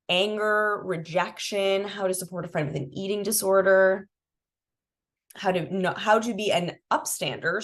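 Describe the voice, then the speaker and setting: Congested woman giving a friendly walkthrough. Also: fairly low pitch. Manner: chatty, many fillers and self-corrections. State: congested, sniffly. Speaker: programs manager presenting a resource toolkit. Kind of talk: casual screen-share walkthrough.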